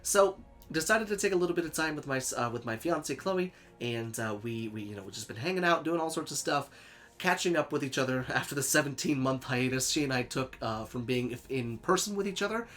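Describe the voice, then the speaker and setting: A man's voice: medium pitch, lively intonation, a little fast, fairly bright tone. A young man hosting a casual gaming let's play, chatty and upbeat, laughing easily, sounding a bit stuffy and sniffly from a cold. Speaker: gaming channel host. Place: home in Sweden.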